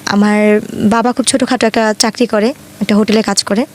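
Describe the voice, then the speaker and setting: A woman's voice: mid-range voice, steady tone, fairly quick, slight background noise. A woman in her twenties from Dhaka with a Bangladeshi accent, telling her life story in an earnest, colloquial way as an interview guest. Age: 20s.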